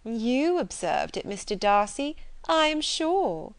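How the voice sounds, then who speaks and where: A woman plays a sly young society lady in a period audiobook, fawning and catty by turns. Her voice is raised in pitch and very lively, with arch, pointed stresses. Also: takes it slowly, full character voice. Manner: arch, insinuating, pointed emphasis. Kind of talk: audiobook character dialogue from a period novel.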